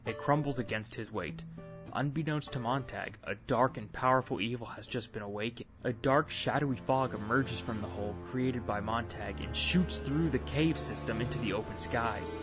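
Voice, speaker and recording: A man's voice: mid-range voice, moderate pace, music in the background. A man presenting and narrating his own amateur audio drama, in storybook style with informal announcements.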